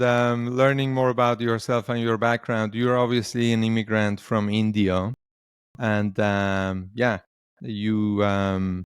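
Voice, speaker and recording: A man's voice: fairly low pitch, clean tone, dry recording, clean studio sound. A man hosting an interview show, asking questions with many fillers and restarts. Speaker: podcast host.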